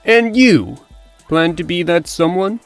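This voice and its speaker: A woman's voice: lowish voice, lively intonation, slow, dry recording, faint music behind. A woman voicing an anxious pig revolutionary in a comic dub, fretful and indignant. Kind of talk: comic-book dub acting.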